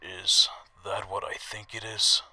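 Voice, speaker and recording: No-nonsense wasteland stall cook played by a man, lowish and very forceful, blunt, practical delivery for radio drama. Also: level tone, takes it slowly, full character voice. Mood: grudging. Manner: blunt, matter-of-fact. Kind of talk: audio drama acting.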